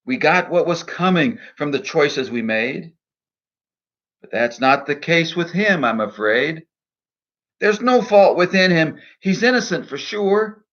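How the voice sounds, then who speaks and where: A man speaking in a measured, earnest, deliberate way, in the style of a devotional poetry reading and reflection. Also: on the high side, lively intonation, a bit bright, highs cut off. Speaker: minister and poet reading own poem.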